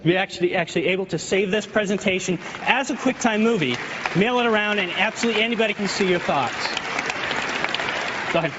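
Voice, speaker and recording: A man giving a live software demo on a keynote stage, eager and restarting words as he goes. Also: sits high, quick pace, heavy background noise. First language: not French. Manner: eager, repeats words while demoing. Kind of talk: live product demo presentation. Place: large conference hall. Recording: lo-fi hall recording with crowd.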